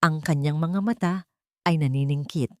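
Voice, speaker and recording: A woman's voice: low-pitched, normal speed, no echo, clean studio sound. A woman narrating a scripted dramatic story as its narrator.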